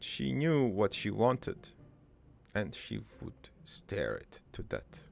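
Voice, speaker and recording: A man voicing a middle-aged, foreign-born cartoon father who rambles through his life story in a deadpan, halting, improvised monologue with a gravelly voice. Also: on the low side, soft background music, heavily acted. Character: rambling, crude, wistful foreign-born immigrant father named Joey.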